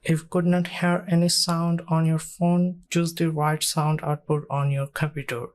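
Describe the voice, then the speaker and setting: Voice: mid-range voice, little pitch movement, normal speed. A narrator reading scripted step-by-step tech tutorial instructions in a plain, instructional way.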